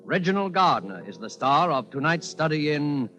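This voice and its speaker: A man's voice: a little high, big pitch swings, normal speed, slight background noise. A man announcing a suspense programme in formal 1940s radio sponsor style. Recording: vintage broadcast with loud music behind. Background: music.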